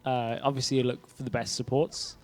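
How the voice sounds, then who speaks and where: A man with a mid-pitched voice casts an esports match from an event stage. He talks in a wry, teasing way, keeping things upbeat while the game is paused. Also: level tone. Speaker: esports caster. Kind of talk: casual caster banter.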